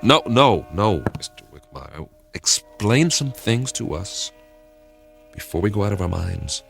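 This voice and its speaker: A weary spaceship captain talks in a deep voice, earnest and a little halting. He sounds baffled but polite, in classic radio drama style, with faint music behind him. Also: very animated, gravelly, a bit bright, heavily acted. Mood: exasperated.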